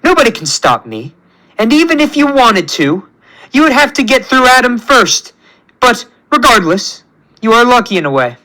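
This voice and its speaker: A megalomaniac god-villain, performed by a male storyteller, pompous and full of contempt. The voice sits high and bright and swings widely as he sneers at everyone beneath him. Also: big pitch swings, moderate pace, heavily acted, low-quality recording. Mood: gloating.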